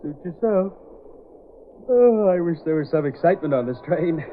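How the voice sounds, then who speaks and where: An actor playing a carefree, overconfident rich playboy with a breezy manner in an old-time radio drama. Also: male voice, a little high, expressive, moderate pace, natural voice. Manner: breezy, sociable. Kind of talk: 1930s-40s radio drama acting. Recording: tinny, noisy old radio recording.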